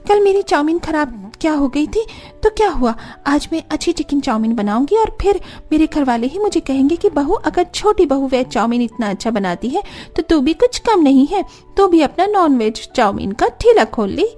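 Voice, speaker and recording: A woman acts out a stubborn young daughter-in-law in a family cartoon. The voice sits very high yet has a soft, mellow tone. The delivery is dramatic and emphatic, that of a jealous, boastful woman who talks to herself. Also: very animated, normal speed, few pauses. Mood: determined. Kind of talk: Hindi cartoon story dialogue.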